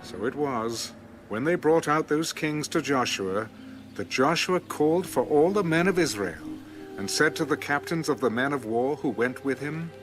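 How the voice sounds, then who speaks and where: Male scripture narrator, dramatic scripted read with lively intonation, music behind. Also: on the high side, moderate pace. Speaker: audio Bible narrator.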